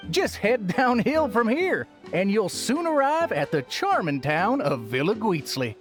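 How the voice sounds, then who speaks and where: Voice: medium pitch, big pitch swings, measured pace, faint music behind. A grand, cheery event host. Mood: welcoming. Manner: grand, theatrical welcoming. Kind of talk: game cutscene voice acting.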